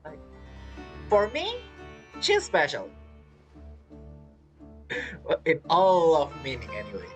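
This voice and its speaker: Male streamer, playful, reactive, casual gameplay commentary, lively intonation, faint background music. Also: on the high side, slow pace, long pauses. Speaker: game streamer. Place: indoors at a streaming setup.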